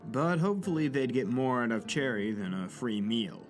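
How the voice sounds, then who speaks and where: A man's voice: medium pitch, animated, moderate pace, soft background music. A man narrating a scripted story for an audio drama.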